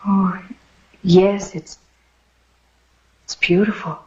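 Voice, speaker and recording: An American actress playing an earnest, wistful young blind telegraph operator in an old TV western. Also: female voice, mid-range voice, little pitch movement, drawn-out words, warm tone, husky, full character voice. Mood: polite. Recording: dull vintage TV western soundtrack.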